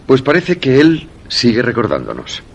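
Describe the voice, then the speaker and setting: An actor with a Castilian Spanish accent and a gravelly voice, playing a quiet, curious husband in a suspense radio drama. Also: male voice, mid-range voice, huge pitch swings, normal speed, noisy background. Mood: intrigued, uneasy.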